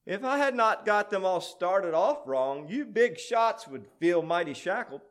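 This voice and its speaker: A man's voice: sits high, big pitch swings, unhurried, fairly bright tone, voice pushed up, heavily acted. A man voicing a small imp underling with a piping, quivering manner in a dramatic verse recitation. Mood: cheeky.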